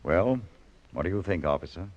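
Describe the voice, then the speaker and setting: Cool matter-of-fact plane boss, old radio play, dull and noisy sound. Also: male voice, deep voice, animated, normal speed. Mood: cool, grim. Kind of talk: old-time radio drama acting.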